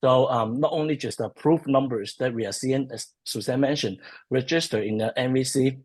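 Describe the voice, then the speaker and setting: A man talks through a webinar as a guest, his voice a touch husky and mid-pitched. He pauses often with ums and restarts his sentences as he works out his points over a video call. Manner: hesitant, many ums and restarts. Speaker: policy research guest. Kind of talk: webinar discussion.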